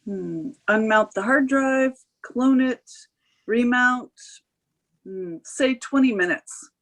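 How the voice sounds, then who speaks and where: A woman's voice: on the high side, takes it slowly, a bit bright. A woman reading the part of a methodical computer technician in a screenplay table read. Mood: focused.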